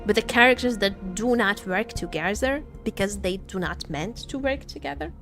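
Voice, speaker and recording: A woman livestreamer chatting casually with viewers about games, halting and jokey, often repeating herself. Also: mid-range voice, expressive, fairly bright tone. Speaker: livestreamer, gaming content creator. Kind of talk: casual live gaming commentary, chatting with viewers. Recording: faint music behind the voice. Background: faint music.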